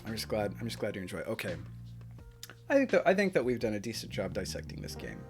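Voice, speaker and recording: A man's voice: on the low side, huge pitch swings, quick pace, vocal fry, over music. A young man streaming, casually analyzing a chess game in a rambling way full of fillers and restarts, his throat strained from hours of talking. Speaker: streamer reviewing chess games.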